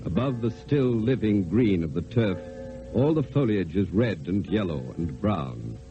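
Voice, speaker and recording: Over clear music, a man narrates a radio play in a formal, deliberate style. His delivery is dramatic and animated, on a somewhat dull old broadcast recording. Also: low-pitched.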